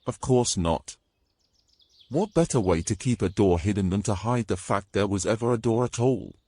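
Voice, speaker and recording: Man acting a bold, low-voiced British knight. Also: animated, moderate pace, background noise, natural voice. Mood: wry, confident. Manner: wry, brash, old-fashioned turns of phrase. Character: bold, gruff, teasing male knight adventurer. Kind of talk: romantic fantasy audio drama acting.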